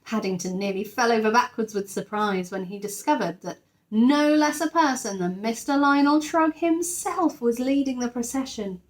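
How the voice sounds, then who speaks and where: A woman's voice: on the high side, moderate pace, rough recording. A woman narrating a children's story expressively, like a teacher reading aloud to her class.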